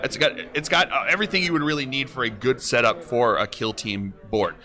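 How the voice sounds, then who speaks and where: Boisterous bright-voiced male commentator. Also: medium pitch, fairly quick. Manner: boisterous, laughs often. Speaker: tournament stream commentator. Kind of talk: casual live commentary.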